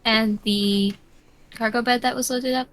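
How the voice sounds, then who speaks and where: Woman game master, fairly high voice. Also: steady tone, unhurried, a crowd in the background, rough recording. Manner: matter-of-fact, explaining rules. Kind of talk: narrating a tabletop game.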